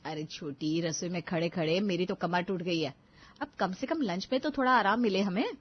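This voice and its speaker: A woman's voice: on the low side, moderate pace, breathy edge. A woman playing a crafty, coaxing elder daughter-in-law and young mother in a cartoon. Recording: dull lo-fi audio.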